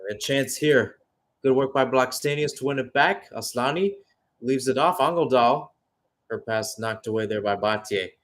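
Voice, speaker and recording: A man's voice: mid-range voice, moderate pace. A man giving live radio-style football commentary as the play-by-play caller, conversational and thinking aloud between bursts of calling the action.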